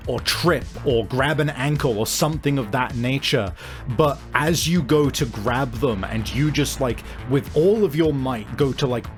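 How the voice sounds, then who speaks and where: Man running a tabletop game over music. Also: mid-range voice. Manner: halting, explanatory, repeats words for emphasis. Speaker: game master. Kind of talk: improvised tabletop game narration and rules talk.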